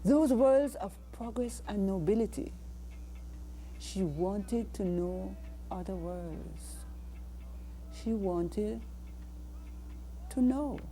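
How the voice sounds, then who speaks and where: A woman with a Jamaican accent reciting spoken-word poetry in a deliberate, dramatic way. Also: mid-range voice, warm tone, slight background noise. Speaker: poet.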